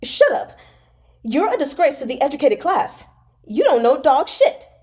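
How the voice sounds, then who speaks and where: A woman's voice: high-pitched, sing-song, moderate pace, darkish tone, pitched above the performer's own voice. A woman reading aloud as a haughty, mocking young nobleman with a cutting, easily offended manner. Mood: outraged. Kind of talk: dramatic novel read-aloud.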